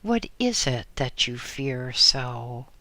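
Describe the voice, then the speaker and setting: A man voicing a tall, calm old lama who speaks softly and soothingly. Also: medium pitch, no room sound, full character voice. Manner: soft, soothing, unhurried.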